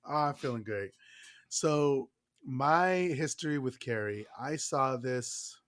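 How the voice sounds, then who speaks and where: A man's voice: medium pitch, animated, slow pace, a bit bright. Nasal, stuffed-up man chatting. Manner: enthusiastic, swears freely. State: stuffed-up nose. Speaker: podcast co-host. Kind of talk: casual chat.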